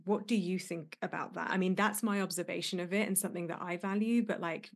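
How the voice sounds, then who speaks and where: A woman hosts a podcast, interviewing her guest in a slightly low voice. She reflects at length and speaks in an encouraging, supportive way. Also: steady tone, fast talker, gravelly. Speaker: podcast host, coach.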